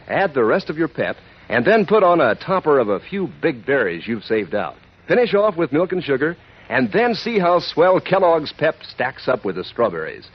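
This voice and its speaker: Casting: male 1940s cereal pitchman, folksy and chummy, very lively intonation, rough-edged voice, dull old radio sound. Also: moderate pace. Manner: chummy, folksy sales patter. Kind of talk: 1940s radio advertising read. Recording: muffled 1940s radio recording.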